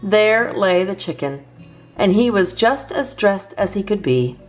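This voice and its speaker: A woman narrating a children's storybook as a storytime host, in an expressive read-aloud style, over music. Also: mid-range voice, a little slow, poor audio. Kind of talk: animated children's storybook reading. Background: soft plucked guitar music.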